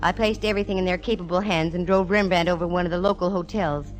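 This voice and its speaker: A woman's voice: lowish voice, steady tone, fast talker, lightly acted, low-quality recording. Female private eye for vintage radio drama played by an actress: wisecracking, punchy, music underneath. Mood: brisk, wry. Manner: wry, punchy delivery. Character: sassy, wisecracking female private eye narrating her case. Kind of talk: 1940s radio detective acting and narration.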